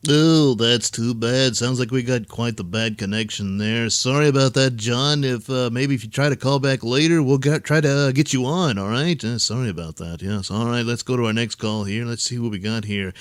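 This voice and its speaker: A man's voice: medium pitch, normal speed, hardly any pauses, no room sound, clean studio sound, natural voice. A man playing a chipper, unflappable call-in show host in a talk radio parody. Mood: patient, breezy.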